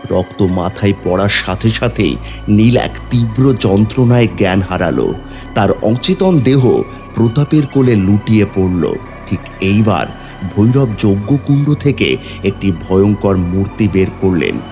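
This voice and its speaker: Animated male horror story narrator. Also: fairly low pitch, unhurried, soft background music.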